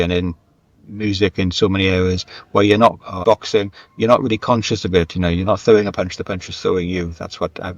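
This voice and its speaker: A man with a low voice answers interview questions conversationally. He circles back and repeats words as he works out his thoughts. The recording is dry and a little dull. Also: dry recording. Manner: hesitant, repeats words as he thinks aloud. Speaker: guest, screenwriter and novelist.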